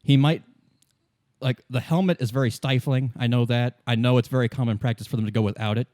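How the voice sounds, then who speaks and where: A man running a tabletop roleplay as game master, laying things out in measured, deliberate explanatory phrases. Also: medium pitch, fairly even intonation, darkish tone, no room sound, clean studio sound.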